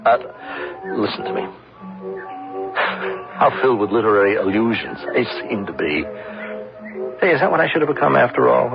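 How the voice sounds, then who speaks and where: An old, muffled radio drama recording with music behind it. a ruined businessman, despairing and self-mocking, his lowish voice swinging widely. He muses haltingly in grand literary phrases. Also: animated, vocal fry. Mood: bitter, brooding.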